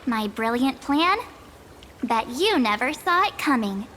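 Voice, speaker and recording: A woman's voice: on the high side, expressive, a little slow, bright, forceful tone, a little hiss or hum. An actress playing a sweet, playfully boastful girl in a video game, with a girlish manner. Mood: playfully proud. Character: sweet, naive girl, playfully proud of herself. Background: music.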